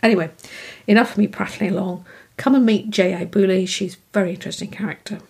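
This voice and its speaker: Podcast host; slightly breathy voice, mid pitch, chatty and loose with fillers. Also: female voice, steady tone, quick pace, darkish tone. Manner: friendly, rambling, many fillers. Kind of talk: casual interview chat.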